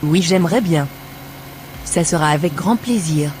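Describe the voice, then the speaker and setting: Low-pitched agreeable wife, acted by a woman. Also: a little slow, a little mellow, slight background noise, natural voice. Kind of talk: scripted cartoon dialogue.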